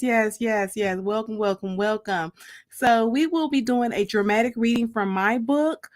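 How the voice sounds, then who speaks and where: An American woman with a fairly high voice hosts and reads her own writing. She takes her time, speaking very slowly in the manner of a livestream dramatic reading. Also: a bit bright. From Detroit, United States. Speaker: host and author reading her own book.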